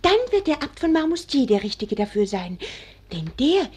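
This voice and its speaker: An actress playing a naive, bossy young wife in a 1940s radio play, insistent and scolding. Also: female voice, high-pitched, sing-song, moderate pace, a little mellow, slightly rough, heavily acted. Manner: insistent, commanding.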